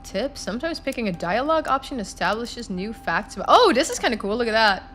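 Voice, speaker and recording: A woman's voice: on the high side, sing-song, fast talker, fairly bright tone, slight background noise. A chatty woman live streaming a game, talking to viewers and reacting aloud as she reads.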